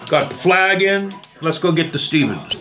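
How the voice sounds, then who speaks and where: A slightly hoarse man talking casually and rambling as he tests trigger locks on guns for his viewers. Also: sits high, expressive, normal speed, a bit bright, soft background music. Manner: rambling, plain-spoken, addresses viewers as guys. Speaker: gun reviewer. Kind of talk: casual demo vlog.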